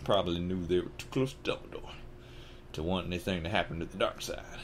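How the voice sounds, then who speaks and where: Kindly, anxious storybook giant, played by a man: low, folksy Southern drawl, halting delivery, some background noise. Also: close to an everyday voice. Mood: solemn. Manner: halting, folksy, dropped consonants.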